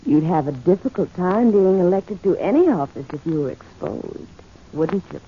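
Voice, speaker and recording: A woman's voice: lowish voice, expressive, moderate pace, a little hiss or hum, lightly acted. An actress playing a sly, coolly confident female blackmailer with coy, insinuating delivery, in 1940s radio drama style. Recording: muffled old 1940s radio recording.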